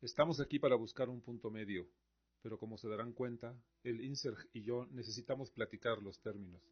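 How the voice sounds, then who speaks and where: A man's voice: medium pitch, brisk, natural voice. A man playing a war-weary, pragmatic old emperor in a scripted audio drama. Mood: weary, measured. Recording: dull lo-fi recording.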